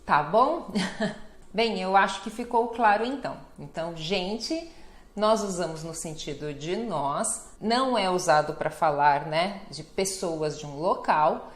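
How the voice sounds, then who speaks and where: A Brazilian Portuguese-accented woman teaching a language lesson, explaining slowly and carefully and often checking in with "né?". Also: lowish voice, echoey room. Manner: slow, careful explanations with frequent "né?" and lively greeting examples.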